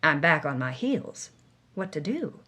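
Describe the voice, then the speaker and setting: A woman's voice: deep voice, big pitch swings, a little slow, bright, forceful tone, voice pushed down, exaggerated voice. A woman voicing a world-weary, wry female movie star reading dramatically from her journal, in a noir storytelling style. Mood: incredulous, torn.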